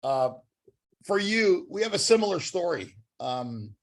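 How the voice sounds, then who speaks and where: A Canadian-accented man co-hosts a casual podcast in a fairly high voice. He cracks jokes and rambles, restarting his sentences as he goes. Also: big pitch swings, unhurried. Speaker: podcast co-host. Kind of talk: casual joking chat.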